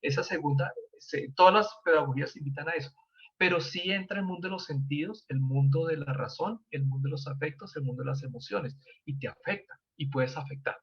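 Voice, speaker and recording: A man's voice: on the high side, fairly quick, a bit bright, breathy edge. A man speaking as a priest on a panel, explaining emphatically with repeated phrases and short check-in questions. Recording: slightly dull, lo-fi sound.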